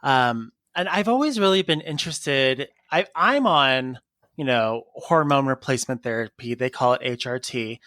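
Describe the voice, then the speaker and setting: A man co-hosting a casual interview podcast, chatty and quick to laugh. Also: mid-range voice, no room sound, studio quality. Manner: laughs often, chatty and animated. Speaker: podcast co-host.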